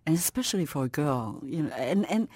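Brief: middle-aged woman from Vietnam, big laughing voice, expressive, dry studio sound. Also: sits low, a little fast, breathy edge, dry recording. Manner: expressive, lively, many restarts. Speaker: author, guest. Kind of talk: interview conversation.

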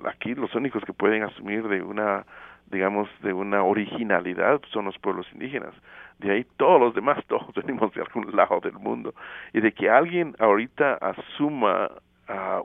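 Gravelly low-voiced man on a phone line. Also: dry recording. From Guatemala. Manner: thoughtful, many fillers and pauses. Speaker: interview guest, teacher. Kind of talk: casual interview. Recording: tinny phone line, radio broadcast.

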